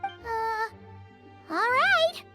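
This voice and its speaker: A tiny cartoon fairy companion from a game. The voice is squeaky, extremely high and swoops all over, curious and childlike. Faint music sits underneath. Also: female voice, expressive, very slow, sharp tone, exaggerated voice. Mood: curious, puzzled.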